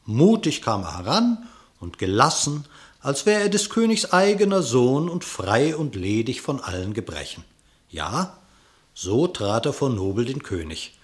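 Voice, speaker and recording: A man narrating a radio play in verse, expressive and theatrical, with measured diction. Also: mid-range voice.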